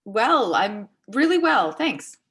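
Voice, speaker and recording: Animated, awkwardly blunt woman played by an actress. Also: fairly high pitch, husky, heavily acted. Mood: polite, brittle. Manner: halting, dry, tactless. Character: blunt, awkward, teasing woman, nervously polite. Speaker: amateur or local theatre actor. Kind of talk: naturalistic dramatic reading of a stage dialogue.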